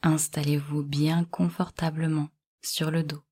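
A woman guiding a yoga nidra relaxation in calm, measured short instructions. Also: low-pitched. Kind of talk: guided relaxation meditation.

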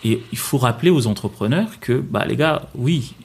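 Congolese-born man, thoughtful and halting. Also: mid-range voice, lively intonation, creaky, darkish tone, slightly rough. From Congo, Kinshasa. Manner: thoughtful, frequent restarts and repetitions. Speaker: guest, banker. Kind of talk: casual interview conversation.

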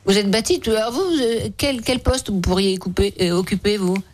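A woman hosting a radio show in a lively, conversational interview style, chatty and teasing with guests. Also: medium pitch, fairly quick, a little hiss or hum, rough recording.

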